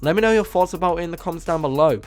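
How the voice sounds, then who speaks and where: Hoarse, nasal man showcasing mods. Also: on the high side, rapid-fire, darkish tone. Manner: enthusiastic, casual, repeats praise. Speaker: gaming channel host. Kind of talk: game mod showcase voice-over. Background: faint music.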